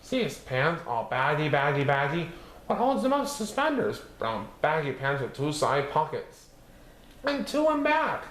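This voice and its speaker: A man reading a picture book aloud as a storyteller, expressive and sing-song, playing with repeated words. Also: on the high side, unhurried. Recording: roomy echo with faint background noise.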